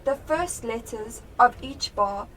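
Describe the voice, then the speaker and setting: A young woman playing a sharp, determined police detective in a radio drama. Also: a little high, expressive, slow, far from the mic, a little hiss or hum, rough recording. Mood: focused, deducing. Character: sharp, determined female police detective piecing clues together. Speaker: student actor in a school radio play.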